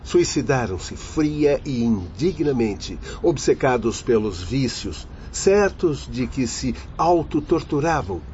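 A man acting in a radio drama as a grave doctor spirit with a Brazilian accent, solemn and measured as he condemns human vice. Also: mid-range voice, very animated, a little mellow. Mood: reproachful, lamenting. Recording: muffled lo-fi audio with faint noise.

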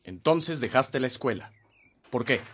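An actor playing a welcoming but businesslike older brother and landowner with a Mexican accent, speaking directly in a radio drama. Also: male voice, mid-range voice, animated, unhurried, a bit bright, slight background noise. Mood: matter-of-fact.

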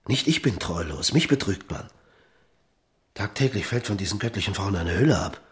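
A man's voice: sits low, animated, moderate pace, husky, heavily acted. A man playing an amorous, imperious king in a radio drama, seductive, theatrical and commanding. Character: amorous, imperious baroque king, flattering and scheming.

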